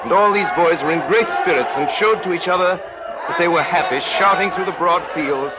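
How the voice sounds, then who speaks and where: A man narrating an old-time radio drama in a measured, literary storytelling manner. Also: high voice, a loud crowd, heavy background noise. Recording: dull old radio recording, music behind.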